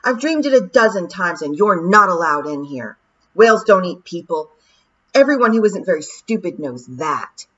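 A woman's voice: mid-range voice, animated, measured pace, voice pushed up, lightly acted. A woman voicing a determined, clever young girl, firm and insistent. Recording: dull lo-fi audiobook recording.

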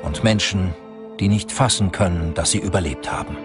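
A man's voice: low-pitched, normal speed. A man reading scripted documentary narration as the narrator. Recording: loud music under the voice. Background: loud music.

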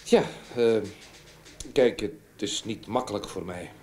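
A man's voice: on the low side, a little slow, darkish tone, natural voice. A man playing a wealthy older shipping magnate in a radio drama, formal and hesitant yet pressing. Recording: faintly noisy old radio recording.

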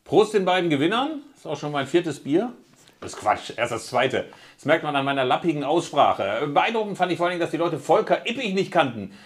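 A man's voice: fairly high pitch, expressive, fairly quick. A middle-aged man hosting from a studio set, telling self-mocking jokes with fillers between segments. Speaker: show host. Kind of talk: studio presenting.

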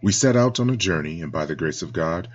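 Man, very deep voice, solemn scripted church narration, dull lo-fi sound with soft music behind. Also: animated, fairly quick. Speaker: church spokesman.

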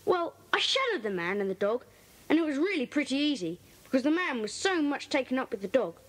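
A woman's voice: high-pitched, very animated, brisk. A woman with a British accent playing an earnest, eager schoolboy detective in a children's audio drama, telling his story in careful detail. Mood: earnest, intrigued.

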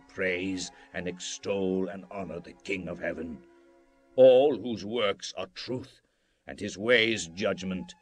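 An actor playing a proud, majestic king in a dramatized scripture reading, speaking in a grand, declamatory way. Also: deep voice.

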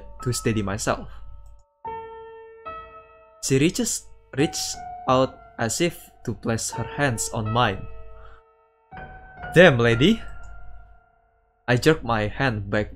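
A man streams a visual novel, reading it out slowly and haltingly. He adds his own comments between the lines. His voice sits at a middling pitch, with faint piano music behind it. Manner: halting, stumbles and repeats words while reading. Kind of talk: reading game text aloud with commentary.

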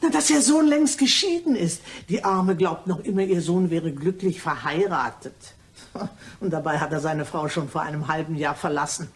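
A woman playing a chatty, indiscreet, cheerful cleaning woman in a German crime radio play, gossiping in an animated way. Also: low voice, moderate pace, close to an everyday voice.

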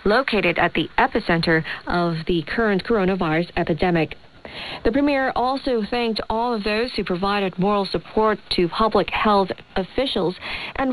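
A woman's voice: mid-range voice, fairly bright tone, rough recording. A woman radio presenter reading scripted news on a shortwave broadcast. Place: radio studio, heard over shortwave.

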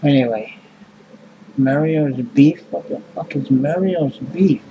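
A man's voice: on the high side, slow, warm tone, slight background noise, rough recording. A man, rambling and swearing casually as he reads prices off a receipt into a webcam at home. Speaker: vlogger. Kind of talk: casual chat. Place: small home room indoors.

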